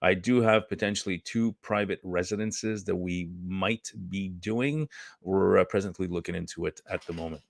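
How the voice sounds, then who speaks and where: A man hosting a live-streamed talk show in a casual chatty way, hoarse and losing his voice, rambling and clearing his throat often. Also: sits low, moderate pace, no echo, studio quality. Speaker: host.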